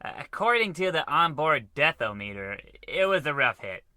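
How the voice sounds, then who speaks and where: An actor playing an earnest, dutiful spaceship navigator in a comic old-style radio adventure, reporting deferentially to a superior. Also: male voice, on the high side, sing-song, normal speed, bright, forceful tone, no room sound, heavily acted. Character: dutiful, eager young male spaceship navigator lieutenant.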